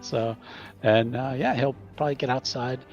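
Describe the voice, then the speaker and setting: A man's voice: medium pitch, steady tone, moderate pace. A man casually narrating his character's actions in a tabletop roleplaying game, hesitant and repeating phrases. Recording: slightly dull lo-fi audio. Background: faint music.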